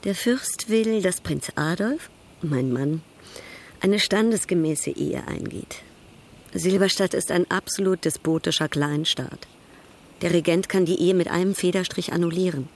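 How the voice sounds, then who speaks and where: Arch, worldly baroness, lowish voice. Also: female voice, measured pace, slight background noise. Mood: proud, frank. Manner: arch, poised, coolly ironic. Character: proud, worldly European baroness, teasing and restless. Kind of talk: German radio drama acting.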